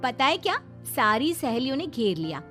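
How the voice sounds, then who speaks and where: A woman's voice: high voice, very animated, normal speed, sharp tone, pitched above the performer's own voice, exaggerated voice. A woman voicing a cheerful, supportive young daughter-in-law in a Hindi cartoon story. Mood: excited. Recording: music behind the voice.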